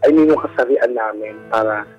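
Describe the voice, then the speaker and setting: A Filipino man with a campy, animated way of talking, telling his personal story casually as a guest on a radio call-in show. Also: medium pitch, normal speed, muffled recording. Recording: radio call-in over background music. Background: music.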